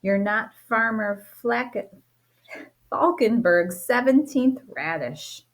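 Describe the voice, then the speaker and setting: A teacher reading a rhyming children's book aloud, playful and expressive, with a sing-song lilt. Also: female voice, fairly high pitch, slow pace. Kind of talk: storybook read-aloud.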